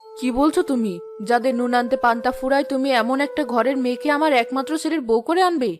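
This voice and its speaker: A woman's voice: sits high, quick pace, natural voice. A woman playing a snobbish, doting mother and village headman's wife in a cartoon, fussy and questioning. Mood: doubtful. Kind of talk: cartoon voice acting. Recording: loud background music.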